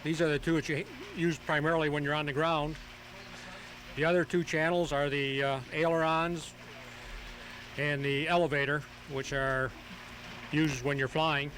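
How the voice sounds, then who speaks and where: Halting, higher-voiced man explaining methodically. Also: a bit bright. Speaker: interviewed hobby pilot. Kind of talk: explanatory live radio interview. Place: outdoors at a flying field. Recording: noisy home tape of outdoor radio broadcast.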